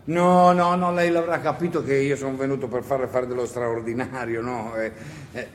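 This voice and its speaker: A man's voice: medium pitch, level tone, moderate pace, lightly acted. A man playing an awkward, talkative partisan on stage, bashful and eager, hesitating and repeating himself in colloquial theatrical speech. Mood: flustered, apologetic. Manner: hesitant, stammering, repetitive, colloquial interjections. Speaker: actor and director performing a solo play. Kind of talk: theatrical dialogue, radio drama monologue. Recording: echoey theatre hall with faint noise.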